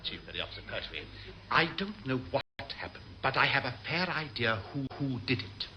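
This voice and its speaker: An actor playing a stiff, exasperated British navy officer in a classic radio sitcom, formal and indignant. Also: male voice, mid-range voice, sing-song, a little fast, natural voice. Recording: noisy old radio comedy recording.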